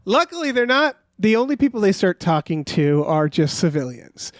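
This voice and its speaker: A man's voice: sits high, big pitch swings, normal speed, dry recording, clean studio sound. A man co-hosting a podcast in a casual, comedic recap style, rambling and incredulous, with some offhand swearing. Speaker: podcast co-host.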